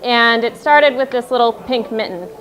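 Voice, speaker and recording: A woman in her thirties telling a wry, self-deprecating story on stage at a live storytelling night, in a loud voice. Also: a little high, normal speed, low-quality recording.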